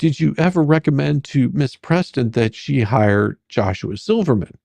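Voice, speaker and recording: A performer reading the part of a sarcastic, persistent attorney questioning a witness in a dramatic deposition reading, with probing, halting, repetitive phrasing. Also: medium pitch, lively intonation, creaky, mellow, dry recording.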